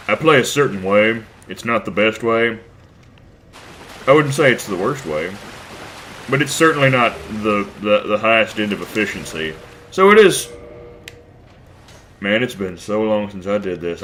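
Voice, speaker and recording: Man, stuffy from a cold, rambling, self-mocking, sharp-toned voice. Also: fairly low pitch, slight background noise, rough recording. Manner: rambling, crude, self-deprecating, many restarts. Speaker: let's play host. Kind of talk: casual gameplay commentary.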